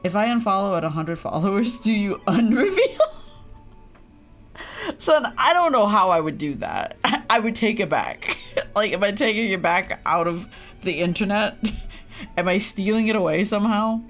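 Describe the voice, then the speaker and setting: A woman's voice: mid-range voice, lively intonation, normal speed, faint music behind. A woman livestreaming a video game, chatting casually with viewers in a playful, exclamatory way and muttering to herself as she plays. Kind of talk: casual gaming chat with viewers, reacting to play.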